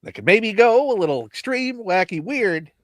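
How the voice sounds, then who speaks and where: Man, host, crisp voice, playful, dry sound. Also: sing-song, moderate pace, dry recording. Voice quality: crisp, slightly high voice. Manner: playful, jokey. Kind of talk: casual live chat.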